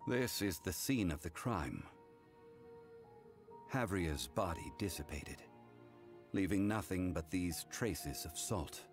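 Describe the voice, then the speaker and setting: Calm worldly game character, very low voice, formal and solemn, slow delivery, music underneath. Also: male voice. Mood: somber, measured. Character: calm, grave, worldly male consultant recounting hard truths. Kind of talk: English video game voice acting.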